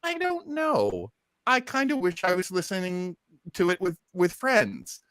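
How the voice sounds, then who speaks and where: A man's voice: high voice, very animated, unhurried, a bit bright, no echo. A man chatting casually as the host of a listening party, commenting as he goes.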